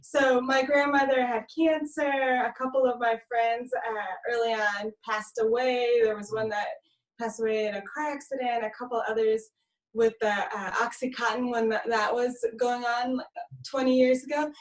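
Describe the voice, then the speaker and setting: A woman's voice: fairly high pitch, fairly even intonation, normal speed. A woman from Romania who grew up in South Florida, chatting as an interview guest over a video call, giggly between phrases. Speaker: interview guest, sound healer. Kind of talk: casual conversational interview. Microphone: video call microphone, slightly distant. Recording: slightly distant video-call mic.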